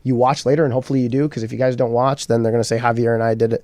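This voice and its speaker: A man's voice: mid-range voice, racing, no room sound. A man co-hosting a casual real estate talk show, telling jokey stories. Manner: animated, jokey storyteller. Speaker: co-host. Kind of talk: casual chat.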